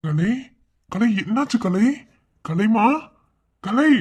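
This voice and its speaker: A man's voice: sits high, expressive, drawn-out words, a little mellow, husky, exaggerated voice. A man voicing an anxious, caring young husband who questions and soothes his wife. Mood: worried. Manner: anxious, coaxing. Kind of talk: audio drama acting.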